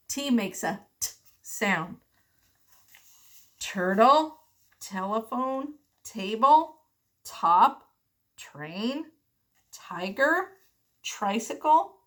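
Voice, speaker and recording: A woman preschool teacher reading the alphabet aloud to children in simple, deliberate, sing-song phrases with questions. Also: fairly high pitch, fairly bright tone.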